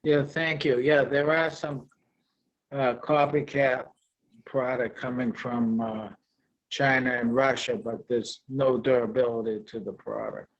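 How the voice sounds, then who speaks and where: A man presenting at a virtual conference and answering questions, halting with many fillers. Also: mid-range voice, fairly even intonation, lo-fi sound. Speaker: presenter, product seller. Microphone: video call.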